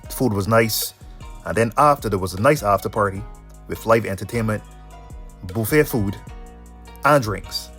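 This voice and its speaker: A man in his thirties with a Bajan accent hosts a travel vlog. His voice sits a little low, and he talks in a casual, colloquial, laid-back style. Music plays faintly behind him. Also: normal speed, long pauses, a bit bright. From Barbados. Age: 30s. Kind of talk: casual travel narration and interview answers.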